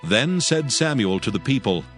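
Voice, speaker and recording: A man narrating a dramatized scripture audiobook in a solemn, measured storytelling manner. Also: medium pitch, sing-song, close to an everyday voice. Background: background music.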